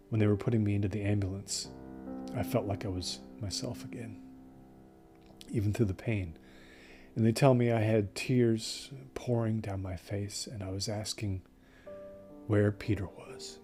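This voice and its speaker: An actor playing a shaken male survivor, reflecting in a measured way as in a documentary monologue. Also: lowish voice, close to an everyday voice. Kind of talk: documentary-style audio drama monologue. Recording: plucked guitar music behind voice.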